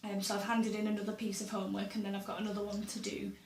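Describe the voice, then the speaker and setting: Chatty woman, slightly hoarse. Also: mid-range voice, monotone, racing, a little hiss or hum. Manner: chatty, with frequent ums and uhs and little laughs. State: slightly hoarse from a sore throat. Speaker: book vlogger. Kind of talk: casual chat to camera. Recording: roomy echo, voice a bit far from the mic.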